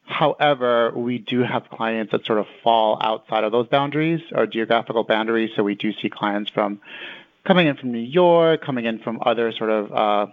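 A man answers interview questions in a conversational but halting manner. His mid-pitched voice has plenty of creak and fry. It comes through a thin, dry, telephone-like connection. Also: dry recording. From El Salvador until nine, then Connecticut, US. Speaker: community center director, interview guest. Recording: narrow phone-like line.

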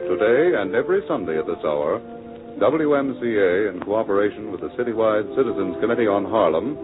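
A man's voice: fairly low pitch, expressive, brisk. An American man announcing a scripted 1940s radio program in a formal, grand, declamatory style. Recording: narrow 1940s radio recording, music behind.

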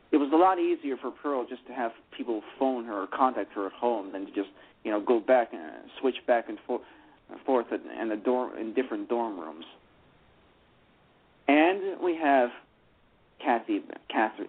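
A man's voice: mid-range voice, breathy edge. A man talking about how he adapted a play, rambling with many restarts and fillers. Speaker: playwright discussing his adaptation. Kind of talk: casual radio discussion. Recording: phone-line radio call.

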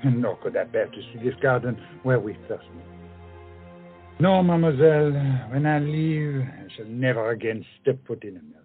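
An actor playing a proud, lovelorn officer and poet, speaking formally in a romantic audio drama. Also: male voice, medium pitch, lively intonation, moderate pace, close to an everyday voice. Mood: wounded, firm. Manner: formal, declamatory. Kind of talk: romantic comedy stage-style audio drama. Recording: muffled lo-fi audio, music underneath.

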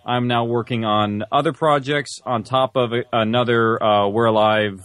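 A man talks casually as an interview guest in a very dry recording. His voice sits at a middle pitch. He explains things informally and modestly. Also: steady tone, moderate pace, a bit bright, no room sound. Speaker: interview guest, audio drama creator.

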